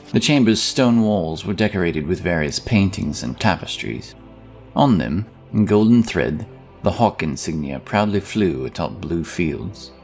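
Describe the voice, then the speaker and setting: Low, expressive male fantasy narrator over music. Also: creaky. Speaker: audiobook narrator.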